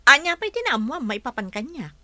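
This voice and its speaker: Bright-voiced young Filipino woman. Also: sits high, very animated, fairly quick, dry recording, exaggerated voice. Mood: conversational. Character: Shirley, young working woman, firm and doubtful. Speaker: radio drama actress. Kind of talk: Filipino radio drama acting.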